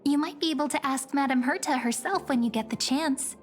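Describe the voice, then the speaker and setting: A woman voicing a sweet, friendly young girl guide in a video game, chatty, gentle and polite. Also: sits high, brisk, faint music behind, close to an everyday voice. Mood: explaining gently. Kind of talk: video game character acting.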